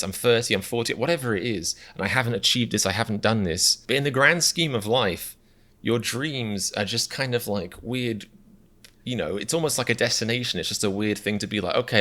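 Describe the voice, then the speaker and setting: Deep-voiced, rambling British young man. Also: pushed and bright. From Britain. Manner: reflective, self-deprecating, rambling with restarts. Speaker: reaction video host. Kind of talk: casual rambling commentary.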